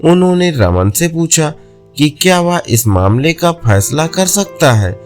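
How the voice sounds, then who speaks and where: A man's voice: fairly high pitch, big pitch swings, unhurried, soft background music. A man narrating a scripted folk tale as a storyteller.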